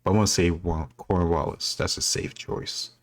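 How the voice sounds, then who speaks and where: A man with a deep, low voice talks casually as he works through quiz answers. He is informal and thinks aloud, with faint music in the background. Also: steady tone, moderate pace. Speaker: reaction video host. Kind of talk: casual spontaneous quiz commentary.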